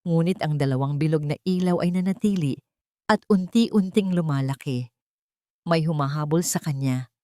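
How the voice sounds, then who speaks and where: A woman narrating a dramatic audiobook story in a solemn, literary way, with short deliberate phrases. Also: sits low, dark tone.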